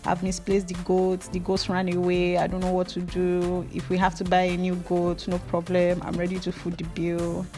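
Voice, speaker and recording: A young Nigerian woman with a Nigerian accent, a hair tutorial vlogger, mixes slow step-by-step instructions with playful, gossipy storytelling. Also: on the low side, faint music behind. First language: Yoruba. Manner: animated, playful, jumps between slow instructions and gossipy asides.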